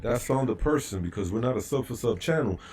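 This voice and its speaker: Slangy man with a lively voice. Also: mid-range voice, fast talker, breathy edge. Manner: laid-back, slangy, reading chat questions. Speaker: co-host. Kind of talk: casual livestream chat.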